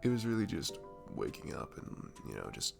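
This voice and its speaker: A very low, crackly male voice of an actor as a sweet, comforting barista in an ASMR roleplay. He rambles gently and hesitantly at an unhurried pace, close up, with music playing behind him. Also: wildly swooping pitch, exaggerated voice. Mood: wistful. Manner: gentle, rambling, hesitant, breathy chuckles and sighs. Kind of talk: ASMR roleplay, soft casual chat.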